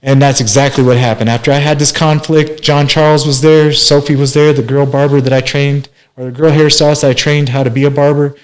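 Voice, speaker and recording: A man's voice: mid-range voice, little pitch movement, a little fast, hardly any pauses, rough recording. A man in his forties from Minneapolis, telling his life story as an interview guest in a rambling way, with many fillers and restarts. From Minneapolis, USA. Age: 40s. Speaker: guest, master barber.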